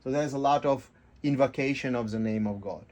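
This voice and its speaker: A man speaking as a guest in a radio interview, explaining in short, halting, often repeated phrases. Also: mid-range voice, a little mellow. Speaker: interview guest, life coach and kirtan teacher. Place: radio studio.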